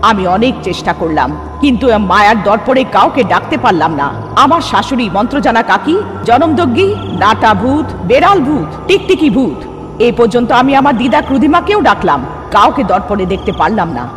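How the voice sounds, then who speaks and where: A woman's voice: fairly high pitch, sing-song, normal speed. A woman playing a distressed young sorceress, narrating her ordeal in the first person in an earnest, dramatic cartoon style. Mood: grave, dramatic. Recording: long reverb under loud music.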